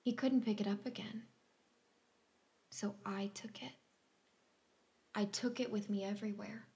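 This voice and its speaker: An actress playing a haunted woman with dark, reckless desires in a stage drama, often hushed. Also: mid-range voice, steady tone, unhurried, close to an everyday voice. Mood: quietly confessing. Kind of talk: stage-play dialogue acting.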